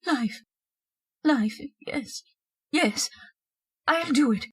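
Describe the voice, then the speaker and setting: Frightened, desperate wife played by an actress: high voice, swooping intonation, hesitant, pleading, old-time radio horror. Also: female voice, lively intonation, extremely slow, exaggerated voice. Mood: fearful, yielding. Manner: hesitant, repeating words, pleading. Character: frightened, compliant wife turned desperate murderess.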